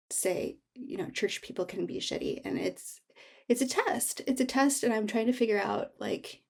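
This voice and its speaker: Creaky-voiced woman, candid and reflective. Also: medium pitch, darkish tone. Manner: candid, thoughtful, halting. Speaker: memoir author, interview guest. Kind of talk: casual, reflective personal storytelling.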